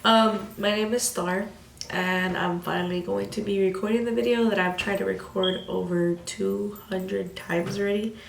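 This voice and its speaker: A woman in her twenties, a little sick with a slightly raspy voice, telling a story in casual vlog chat full of "like" fillers and swearing. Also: medium pitch, fairly even intonation, normal speed, a little hiss or hum. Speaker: vlogger. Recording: echoey room.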